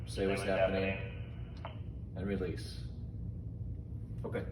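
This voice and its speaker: A man giving informal safety training instructions in a deliberate, simple, step-by-step manner. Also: sits low, completely flat delivery. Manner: slow, deliberate, simple phrasing. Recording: echoey, distant mic, noisy room.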